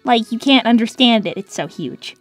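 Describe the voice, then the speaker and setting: A woman's voice: on the high side, lively intonation, normal speed, soft background music. A woman voicing a young female cartoon hedgehog, peppy and touchy, with a sarcastic, girlish manner. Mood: baffled. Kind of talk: cartoon character answering fan questions.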